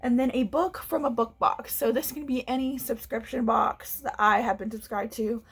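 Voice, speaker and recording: A woman's voice: fairly high pitch, fairly even intonation, moderate pace, breathy edge. A young woman, a book vlogger chatting casually at home, a little congested, rambling and restarting as she talks. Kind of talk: casual chat to camera. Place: home room.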